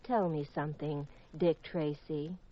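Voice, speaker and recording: Flirty widow on vintage radio. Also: female voice, sits low, fairly even intonation, measured pace. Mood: challenging. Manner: coy, teasing, unsentimental. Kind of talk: 1950s radio detective drama acting. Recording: dull vintage radio recording.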